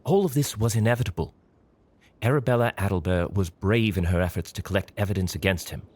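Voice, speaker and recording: An actor playing a coolly pragmatic government man in an audio drama, measured and formal. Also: low voice, darkish tone, husky. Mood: cool, matter-of-fact.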